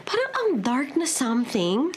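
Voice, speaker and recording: A woman's voice: high-pitched, wildly swooping pitch, moderate pace, background noise. A Filipino woman playing a friendly, gossipy office co-worker in a radio drama, mixing English slang into breezy chat. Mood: chatty, curious. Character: chatty, friendly female office co-worker who senses spirits.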